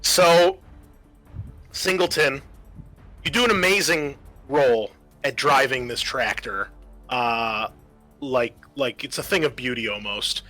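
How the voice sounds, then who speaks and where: A man's voice: mid-range voice, takes it slowly, pauses often, sharp tone. A man acting as game master, narrating a tabletop game in a casual, bantering way. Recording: music behind the voice. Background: music.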